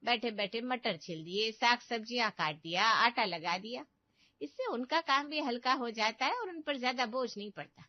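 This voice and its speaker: A woman performs a meddling older aunt from a cartoon family tale. Her voice sits fairly high and she nags with overdone sympathy, always finding fault. The sound is very dry and a little muffled. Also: lively intonation, normal speed, no room sound, lightly acted. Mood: scolding. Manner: complaining, lecturing, exaggerated sympathy. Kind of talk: Hindi family cartoon story voice acting.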